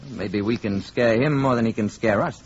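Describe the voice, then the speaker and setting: Calm, measured man, an actor in old radio drama. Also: medium pitch, breathy edge, natural voice. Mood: calm, curious. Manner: measured, polite, quietly self-assured. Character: calm, learned spaceman posing as an ordinary man. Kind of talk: 1950s radio drama acting. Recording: dull, noisy 1950s radio recording.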